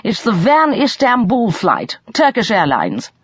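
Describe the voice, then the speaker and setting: Older uncle character performed by a female narrator, audiobook, earnest, practical, animated pitch, husky, slightly muffled recording. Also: unhurried, no room sound, heavily acted. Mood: brisk, helpful. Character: older uncle, practical, firm, shaken by memory.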